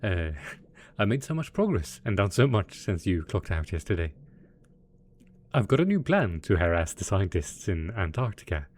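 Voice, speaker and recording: A man's voice: deep voice, very animated, moderate pace, a little mellow. A man playing a gleeful, smug and possessively doting mad scientist supervillain boss in a theatrical, playful roleplay audio drama. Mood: manic cheer. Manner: theatrical, playful, mock-innocent, chuckling.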